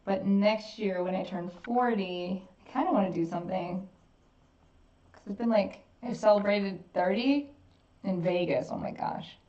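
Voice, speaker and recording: A woman's voice: mid-range voice, a little slow, dark tone. A woman in her late thirties, chatting casually and a little self-deprecatingly while she works on a craft piece. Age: 30s. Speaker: artist working while chatting. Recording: echoey, distant, dull sound.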